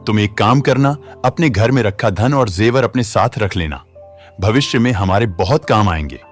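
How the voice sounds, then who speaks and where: A man playing a young, flattering, scheming village swindler in a cartoon moral story. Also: lowish voice, normal speed, soft background music, lightly acted. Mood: coaxing. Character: smooth-talking young village swindler wooing a wife.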